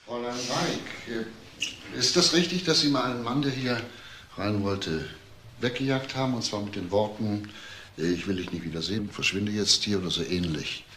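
An actor playing a probing, businesslike police inspector in a crime radio drama, asking halting, clipped questions. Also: male voice, lowish voice, fairly bright tone, a little hiss or hum, close to an everyday voice. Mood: pressing, halting.

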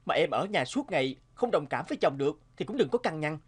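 A man voicing a weary, sentimental young husband in a cartoon, speaking in an expressive, dramatic way. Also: fairly high pitch, fast talker, slightly rough. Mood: weary, irritated. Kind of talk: cartoon dubbing.

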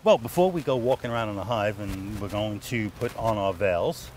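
A man's voice: fairly low pitch, moderate pace, noisy background. A middle-aged man, a beekeeper explaining his work in casual, rambling speech. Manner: chatty, lots of fillers and restarts. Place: outdoors in a garden.

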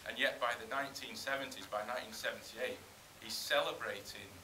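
A man's voice: mid-range voice, a little fast, crowd noise. A man in the audience asks a long question at a screening, hesitant and searching, with many fillers. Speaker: audience member asking a question. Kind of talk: question from the floor. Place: cinema screening room. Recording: echoey room with hiss.

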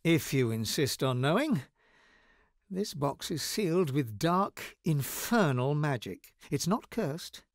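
A wry bookish wizard, highly animated. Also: male voice, slow, no room sound, clean studio sound, exaggerated voice. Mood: earnest, troubled. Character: bookish wizard, wry, anxious, guarded scholar of devils. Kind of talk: video game voice lines.

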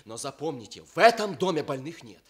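A protective young husband in Soviet radio theatre. He is plain-spoken, with a lively, fairly high male voice. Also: brisk. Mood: firm, challenging. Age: young adult.

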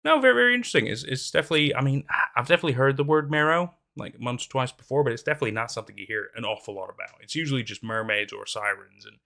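A man's voice: mid-range voice, animated, rapid-fire, vocal fry, hardly any pauses, pushed and bright. A young man narrating a horror story, then chatting casually with lots of fillers and restarts, recorded in a small room at a desk. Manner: rambling, hesitant with many fillers in commentary. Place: small room in front of green screen.

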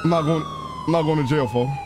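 A man streaming a role-play game, chattering in character in excitable slang and repeating his phrases. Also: a little high, fairly quick. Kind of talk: in-character live gameplay chatter. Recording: sirens and vehicle noise behind voice. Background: game sirens and car sounds.

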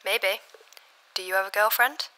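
A menacing female caller in a thriller short, played by an actress, with a very sharp, piercing voice. She is insistent and probing, toying with whoever she rings. The recording is echoey, with some noise. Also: mid-range voice, steady tone, normal speed, close to an everyday voice. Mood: teasing. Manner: teasing, probing, turning threatening. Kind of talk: film dialogue, phone-call thriller.

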